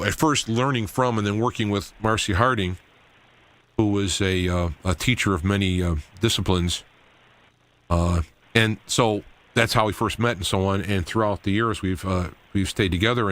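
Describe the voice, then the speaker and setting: Male talk show host, fairly low voice, unscripted and meandering, halting phrasing. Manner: rambling, halting delivery.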